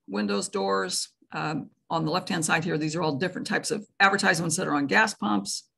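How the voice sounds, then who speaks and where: A hoarse woman, giving a conversational, explanatory presentation at an online meeting, with fillers and self-corrections. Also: mid-range voice, fairly bright tone. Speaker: tobacco prevention director presenting.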